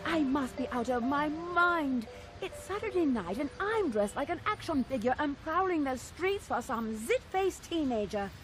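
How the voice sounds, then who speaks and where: Brief: a woman as a wry, sharp-tongued young heroine, slightly high voice, faint score behind. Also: lively intonation, moderate pace. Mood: sardonic. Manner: sarcastic, reluctant. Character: sardonic young woman weather-controlling heroine. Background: music score.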